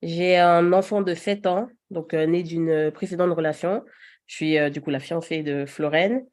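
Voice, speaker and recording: A woman in her thirties speaks as a podcast guest, relaxed and chatty. She laughs easily and fills her pauses. Her voice sits a little low. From Paris region. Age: 30s. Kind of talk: casual chat.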